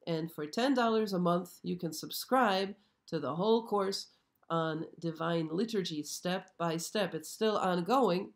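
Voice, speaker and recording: A hoarse woman hosting a casual live stream, chatty and often checking in with her viewers. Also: lowish voice, measured pace. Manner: chatty, frequently checks in with "okay?". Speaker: show host. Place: show set.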